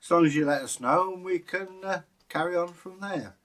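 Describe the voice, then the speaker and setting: A man's voice: high voice, fairly quick, a bit bright. A British man co-hosting as a storyteller, telling folktales and chatting in a casual, musing way. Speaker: co-host and storyteller.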